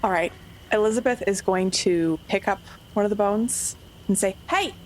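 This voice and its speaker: A woman's voice: medium pitch, normal speed, a little mellow, soft background music, rough recording. A woman playing a tabletop roleplaying game, casually narrating what her character does. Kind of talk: casual third-person narration of character actions.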